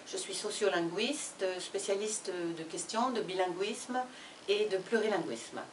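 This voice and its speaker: A woman, a university professor and sociolinguist, presenting a book in a fluent, measured way. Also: mid-range voice, expressive, slight background noise. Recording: echoey room from far off.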